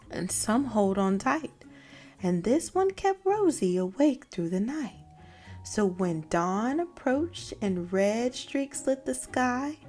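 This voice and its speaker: A woman reading a children's picture book aloud with deliberate, dramatic phrasing. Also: a little high, a little mellow. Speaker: read-aloud storyteller.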